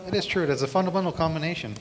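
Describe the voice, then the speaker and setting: A man moderating a casual convention Q&A as a cast member, brisk and dryly playful. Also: a little high, noisy background. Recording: crowded convention hall.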